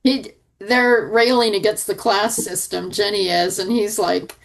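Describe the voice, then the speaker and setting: A woman's voice: medium pitch, steady tone, unhurried, gravelly, poor audio. A woman chatting casually as a panelist on a review podcast.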